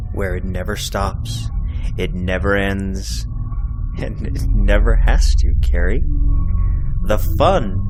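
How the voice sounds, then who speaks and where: A man voicing a sinister, gloating devil in a horror story, mocking with drawn-out questions and sudden swells. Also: lowish voice. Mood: gloating menace, then cruel taunting. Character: sinister taunting devil, gloating master collecting a soul. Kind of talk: creepypasta villain monologue.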